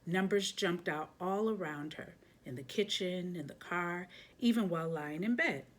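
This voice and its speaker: A woman's voice: on the low side, moderate pace. A woman hosting a children's read-aloud, chatting casually and reading a picture book expressively with little asides.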